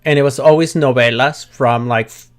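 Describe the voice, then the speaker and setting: A man's voice: medium pitch, steady tone, moderate pace. A man with a slight Spanish accent, a Spanish native speaker, hosting a casual livestream chat as a chatty, giggly storyteller. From United States. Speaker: host.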